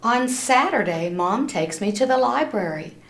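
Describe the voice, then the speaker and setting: A woman's voice: fairly high pitch, expressive, unhurried, a bit bright, slight background noise. A woman reading a children's storybook aloud expressively, with simple storytelling and little asides to listeners. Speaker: storybook reader. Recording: echoey room.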